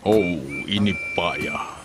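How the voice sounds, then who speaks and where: A man voicing a pompous, easily flustered railway controller in a children's cartoon, with theatrical drawn-out exclamations. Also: sits low, music in the background.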